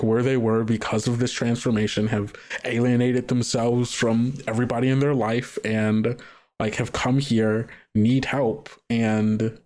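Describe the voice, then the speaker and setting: A man's voice: medium pitch, darkish tone. Male game master, casual tabletop podcast, middle-range voice, hesitant improvised narration. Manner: hesitant, thinking aloud, trailing off.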